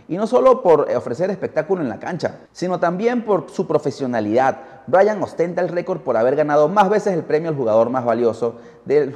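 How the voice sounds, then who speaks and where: Narrador peruano de voz oscura con mucha reverberación. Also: male voice, a little high, darkish tone. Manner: dramatic, addresses the listener with rhetorical questions. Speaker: podcast host. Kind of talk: scripted documentary storytelling for a podcast.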